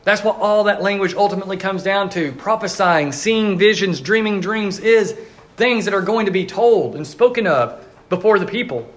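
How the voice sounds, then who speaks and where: High-voiced man preaching a sermon. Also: expressive. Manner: earnest storytelling, voicing the crowd in quick asides. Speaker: pastor.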